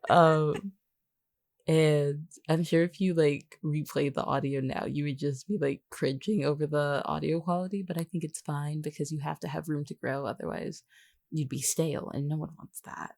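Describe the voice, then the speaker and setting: Female co-host, on the lower side, loose rambling talk with a teasing edge, recorded dry. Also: steady tone, moderate pace, darkish tone, no echo. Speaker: podcast co-host, voice actor. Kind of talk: casual behind-the-scenes podcast chat.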